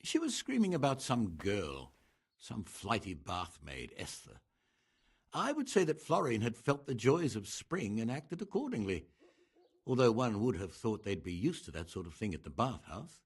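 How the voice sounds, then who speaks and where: A man's voice: on the low side, wildly swooping pitch, moderate pace, breathy edge, heavily acted. An actor playing a pompous, sardonic medieval master craftsman in a game, speaking in wordy, arch phrases. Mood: sardonic, exasperated. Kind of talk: scripted game dialogue acting.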